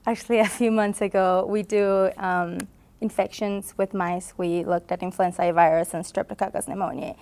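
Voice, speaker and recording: A young woman from Honduras speaks in a recorded booth interview at a conference. She talks earnestly, pausing on fillers and laughing often. Her voice sits at a middle pitch. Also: level tone, darkish tone, clear, resonant tone. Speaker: graduate student.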